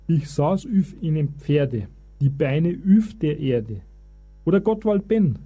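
An actor playing an eager, pedantic Bavarian husband who lectures as a self-styled expert in a comic radio play. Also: male voice, fairly high pitch, expressive, slow pace, mellow, husky, exaggerated voice. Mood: pompous lecturing. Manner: pompous, long-winded.

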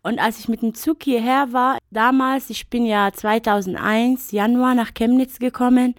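Arabic-speaking woman, higher voice. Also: steady tone, normal speed, no room sound, studio quality. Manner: thoughtful, with hesitations and fillers. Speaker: interview guest. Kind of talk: radio interview, personal account.

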